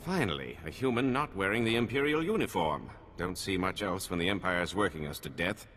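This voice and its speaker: Forceful, earnest resistance foreman, reverberant. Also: sits low, expressive, brisk, a little hiss or hum. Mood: weary, urgent. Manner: urgent, businesslike, forceful. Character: earnest, weary male foreman leading a resistance. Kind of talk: scripted video game cutscene acting.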